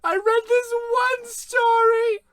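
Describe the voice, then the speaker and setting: A gushing old mother in a radio comedy, with an extremely high voice. She takes her time, rambling fondly in a close, dry recording. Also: little pitch movement, slow, no echo. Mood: chatty, dreamy. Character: doting, chatty old mother of a horror writer.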